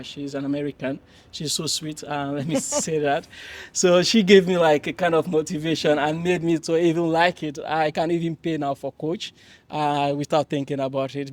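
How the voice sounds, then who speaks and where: A young man from Mali on a panel, telling his story with many pauses and restarts. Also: on the high side. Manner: hesitant, many fillers and restarts, warm laughs. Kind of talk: panel discussion, personal account.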